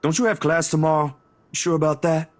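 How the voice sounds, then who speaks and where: A man's voice: on the high side, steady tone, brisk, close to an everyday voice. An actor playing a simple, worried young man in a video game, informal, plain-spoken and questioning. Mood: gently teasing, concerned.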